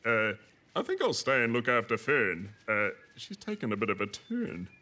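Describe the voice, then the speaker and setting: A man's voice: medium pitch, huge pitch swings, moderate pace, sharp tone. A man voicing a polite, chatty, fussy little bird in a preschool cartoon, genteel and a bit dithering. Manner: genteel, jolly, slightly dithering.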